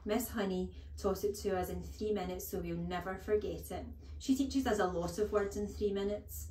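A woman's voice: mid-range voice, normal speed, warm tone, a little hiss or hum, close to an everyday voice. A plucky little schoolboy played by a woman reader pipes up eagerly in a roomy acoustic. He is polite yet cheeky, a brave small child from a storybook. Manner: piping, eager.

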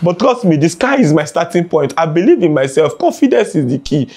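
A man's voice: fairly high pitch, big pitch swings, brisk, dark tone. A man with a Nigerian Pidgin accent playing a cocky young aspiring rapper who raps boastfully. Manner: boastful, rhythmic rapping. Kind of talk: comedy skit acting.

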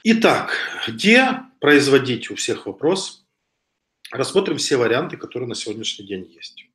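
A man's voice: mid-range voice, sing-song, moderate pace, a bit bright. A man in his thirties giving a webcam lecture over slides, talking casually with frequent hesitations and a slight cough from a cold. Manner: conversational, with frequent hesitations and restarts. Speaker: online business instructor. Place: home room with wooden shelves. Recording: echoey room on a webcam.

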